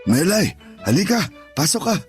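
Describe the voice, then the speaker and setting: An actor playing a shy, smitten middle-aged father in a Tagalog radio drama, with a bashful, gentle manner. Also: sits high, big pitch swings, moderate pace. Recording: music bed under the voice.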